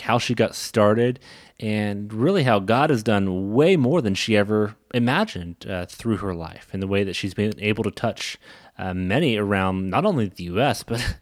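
A man's voice: on the low side, dry recording, clean studio sound. A man hosting a podcast in a casual, chatty, informal style. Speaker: podcast host. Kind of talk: casual podcast introduction and interviewing.

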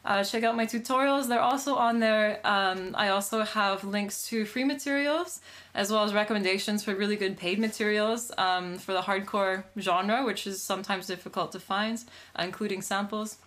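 A young adult woman from Canada, a DJ and producer, talking in a relaxed way with lots of "like" and "um" as a guest on a podcast. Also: medium pitch, moderate pace. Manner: relaxed, many fillers like "like" and "um". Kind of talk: casual interview. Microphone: studio microphone.

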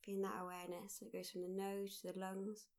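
A woman leading a guided mindfulness meditation, speaking gently in short, unhurried phrases with pauses. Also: mid-range voice, completely flat delivery. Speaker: meditation guide, workshop leader.

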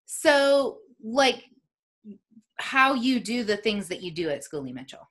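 A woman's voice: on the high side, sing-song, unhurried, a bit bright. A woman with an upstate New York accent, hosting a casual interview with upbeat, chatty questions. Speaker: podcast host.

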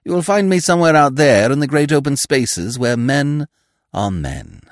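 A man's voice: mid-range voice, expressive, normal speed, no echo, studio quality, voice pushed up, lightly acted. A man voicing a languid, suave young male poet who speaks with grand politeness.

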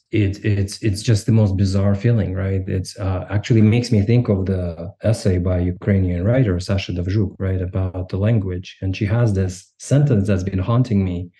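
A man from Kazakhstan, a podcast co-host, reflecting candidly in conversation. He talks haltingly, restarting often and adding "right?". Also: sits low, warm tone.

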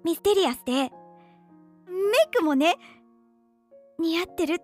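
A woman acting an earnest, gentle teenage girl who paints, speaking softly and sincerely in a Japanese radio drama. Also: very high-pitched, moderate pace. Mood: wistful, fond. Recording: studio drama with music underneath.

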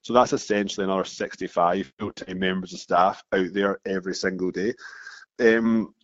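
Scottish founder in his thirties, low-pitched, restarts phrases in a steady flow; dull, dry sound. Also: moderate pace. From Scotland. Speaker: founder of a marketing agency, guest. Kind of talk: casual interview talk. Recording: dull muffled dry recording.

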